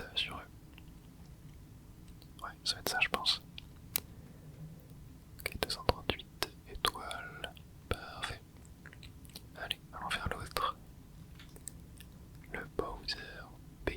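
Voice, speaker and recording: A man whispering his way through a video game, his voice breathy and almost all air, with a high, thin edge that comes from the whisper itself. He talks softly to himself, dropping casual gamer slang as he plays along. The delivery is that of a relaxed ASMR host. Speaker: ASMR gaming channel host. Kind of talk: whispered ASMR gameplay commentary.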